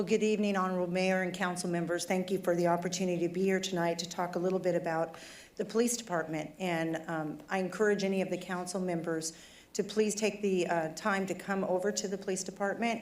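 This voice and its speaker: Woman, lowish voice, hoarse, police chief, briefing a council, plainspoken, formal. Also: steady tone, quick pace, a little mellow. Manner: conversational and plainspoken, some fillers. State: losing her voice, throat trouble. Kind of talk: formal presentation to city council.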